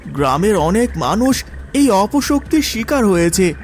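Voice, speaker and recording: Man playing an assured young friend, high-pitched, animated. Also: a little slow, slight background noise. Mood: grave, explaining. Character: loyal knowing young male friend, village rescuer. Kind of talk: animated horror story character acting.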